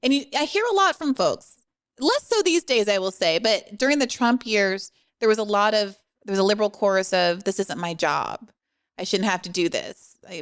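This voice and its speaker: A woman hosting a podcast interview, articulate and measured, asking long, structured questions. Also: on the low side. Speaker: podcast host. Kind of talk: interview conversation.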